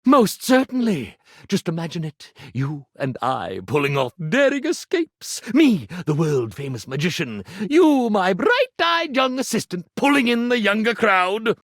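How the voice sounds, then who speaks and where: A man voicing a boastful, grandiose showman magician in a video game, pompous and flattering. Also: high voice, measured pace, no echo, studio quality, exaggerated voice. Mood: grand boasting. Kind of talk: video game character acting.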